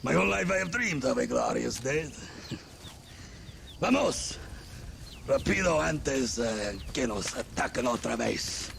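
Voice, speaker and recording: An actor playing a zealous, fatalistic Mexican army officer in a video game, grandiose and formal, with a Mexican accent. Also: male voice, medium pitch, lively intonation, unhurried, gravelly, long pauses, fairly bright tone, a little hiss or hum, full character voice.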